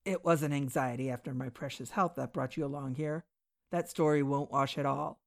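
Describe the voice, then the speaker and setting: A woman voicing a gruff, bitter male convict with a hard, rasping voice, swinging between rage and oily politeness. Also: a bass voice, fairly even intonation, normal speed, pitched below the performer's own voice. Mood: sly, obsequious.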